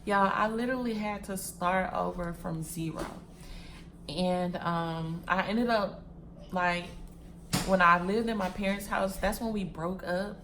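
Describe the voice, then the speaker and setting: A woman's voice: on the low side, unhurried, noisy background. A woman in her twenties chatting casually to her viewers, repeating phrases and calling them "y'all" and "sis" while she packs wigs. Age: 20s. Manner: packing wigs while talking. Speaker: vlogger.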